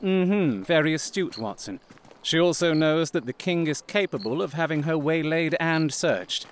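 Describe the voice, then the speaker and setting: Man playing a high-voiced, animated gentleman detective. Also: moderate pace, slight background noise, lightly acted. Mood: analytical, assured. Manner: precise, instructing, theatrical delivery. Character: shrewd, confident gentleman detective. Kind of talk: audio drama acting.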